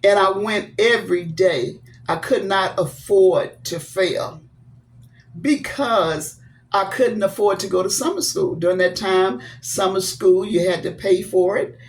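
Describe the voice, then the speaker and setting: A woman's voice: mid-range voice, measured pace. A woman of seventy sharing a personal story from her childhood, speaking earnestly and encouraging listeners with blessings. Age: 70s. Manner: devout, blessing viewers. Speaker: vlogger.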